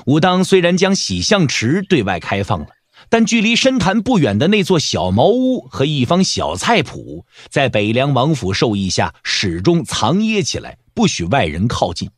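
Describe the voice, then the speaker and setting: A man narrating an audio drama from a script in an expressive storytelling manner. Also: medium pitch, no echo, studio quality.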